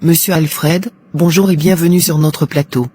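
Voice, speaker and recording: A woman's voice: deep voice, fairly even intonation, moderate pace, a little mellow, slightly rough. An actress playing a polite, probing female talk show presenter in a cartoon, formal and composed. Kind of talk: scripted broadcast interview in a cartoon.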